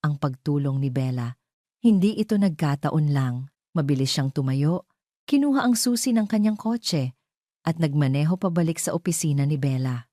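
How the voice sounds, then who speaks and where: A woman's voice: low voice, mellow, no echo, clean studio sound. A woman storyteller giving a dramatic scripted narration of a romance audio drama.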